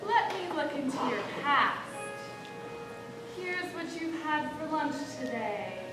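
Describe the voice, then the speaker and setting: A woman on stage playing a showy, teasing trickster, reciting comic verse in a deliberate, declamatory way. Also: high voice, lively intonation. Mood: mysterious, teasing. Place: large hall, school stage play. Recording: echoey hall from far off, crowd and music.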